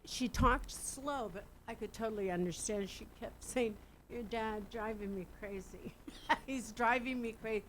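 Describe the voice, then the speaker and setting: A woman's voice: fairly high pitch, unhurried, fairly bright tone, slight background noise, poor audio. A woman, a guest, telling a story in a casual way and often laughing.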